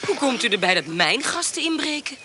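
An actress playing a sly hostess in a radio play, talking smoothly and ironically. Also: female voice, sits high, big pitch swings, a little fast, pushed and bright, slight background noise, heavily acted. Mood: coaxing.

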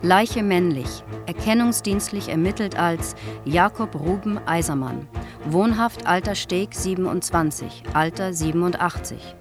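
Tiefere, sachliche Erzählerin über Musik, von einer Frau gespielt. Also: female voice, fairly low pitch, music in the background, close to an everyday voice. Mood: clinical. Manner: formal, matter-of-fact, measured. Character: impersonal female narrator reading official files. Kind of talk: scripted narration in radio drama.

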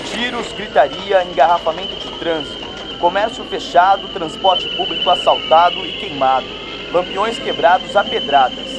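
An actor playing a dramatic news announcer with a Brazilian accent, delivering an urgent bulletin in a radio drama. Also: male voice, high-pitched, normal speed, natural voice. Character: urgent old-time news announcer reporting riots. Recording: very loud background noise.

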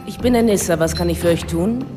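A woman's voice: fairly low pitch, fast, close to an everyday voice. Woman playing curt guarded night club hooker, radio play, reverb and music behind. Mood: offhand, evasive. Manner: evasive, offhand. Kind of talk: radio drama acting.

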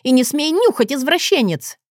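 Woman acting out a theatrical, defiant young heroine, raised pitch. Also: big pitch swings, moderate pace, no room sound, studio quality. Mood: melodramatic, indignant. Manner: pathetic, pompous, exclamatory delivery.

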